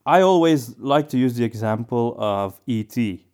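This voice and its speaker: A man co-hosting a podcast in casual discussion, with halting phrasing that often doubles back on words. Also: mid-range voice, expressive, a little mellow. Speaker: podcast co-host.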